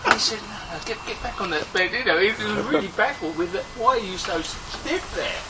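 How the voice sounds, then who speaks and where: A man telling a casual anecdote to friends, animated and quick to act out what people said. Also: high voice, gravelly. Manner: animated storyteller, laughs often, acts out quotes. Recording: very noisy, distant informal group recording.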